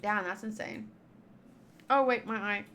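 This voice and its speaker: A woman's voice: medium pitch, a little slow, a bit bright, a little hiss or hum. A woman giving casual visual novel playthrough commentary, chatty and thinking aloud as she reads the text. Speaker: game streamer.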